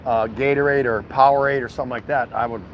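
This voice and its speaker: A man's voice: mid-range voice, steady tone, fast, slight background noise. An American man chatting casually and jokily to his viewers as a folksy vlog host, recorded outdoors. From Milwaukee, United States. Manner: folksy, colloquial, jokey. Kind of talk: casual livestream chat to viewers. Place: outdoors at a city food truck.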